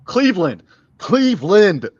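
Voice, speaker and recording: A man's voice: high-pitched, big pitch swings, a little slow, darkish tone, husky, no echo, muffled recording. A man co-hosting a sports podcast, chatting casually with emphatic opinions and some swearing.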